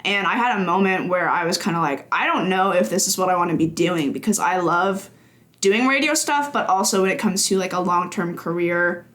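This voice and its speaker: A woman's voice: on the low side, a little fast, fairly bright tone. A young woman guesting on a casual podcast, a chatty storyteller who laughs easily and fills with ums. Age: young adult. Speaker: games journalist guest.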